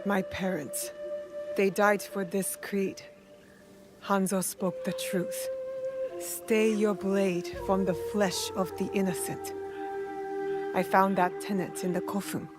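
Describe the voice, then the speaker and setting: A woman's voice: mid-range voice, little pitch movement, takes it slowly, long pauses, natural voice. An actress playing a serious, determined young female assassin in a video game, speaking in a terse, direct way. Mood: grieving. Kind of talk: video game cutscene acting. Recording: music under the voice.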